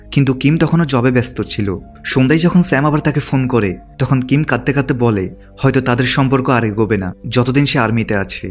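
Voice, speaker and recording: A man narrating a film's story from a script, as a recap narrator. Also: medium pitch. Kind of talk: scripted narration of a film plot.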